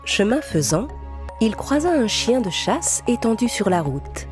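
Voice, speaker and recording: A woman's voice: fairly high pitch, sing-song, a little slow. A woman reading scripted storybook narration for children as the narrator of a cartoon fairy tale. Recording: music clearly behind the voice.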